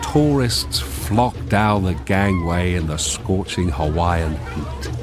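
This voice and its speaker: Deep, slow male drama narrator. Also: expressive. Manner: measured, descriptive. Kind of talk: scripted radio drama narration. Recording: music clearly behind. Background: music.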